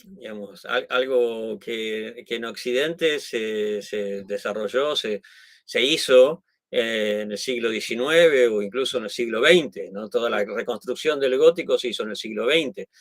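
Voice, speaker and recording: A man's voice: medium pitch, unhurried, no echo. A middle-aged man from Argentina with an Argentine Spanish accent, explaining things in conversation with many restarts and tag questions. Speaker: guest.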